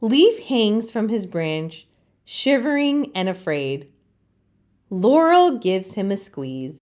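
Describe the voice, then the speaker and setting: A woman reading a children's storybook aloud as a storytime host, with expressive narration. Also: mid-range voice, takes it slowly.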